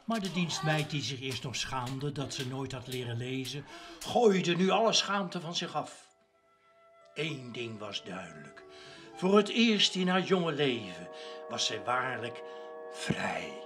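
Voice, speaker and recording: An older, solemn radio-drama narrator. The storytelling is dramatic and measured, with very lively intonation over clear music. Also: male voice, medium pitch.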